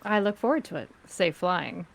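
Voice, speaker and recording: A woman's voice: fairly low pitch, lively intonation, creaky. Woman as a cool, commanding crime-syndicate spymaster. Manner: measured, formal, controlled. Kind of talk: amateur script table read.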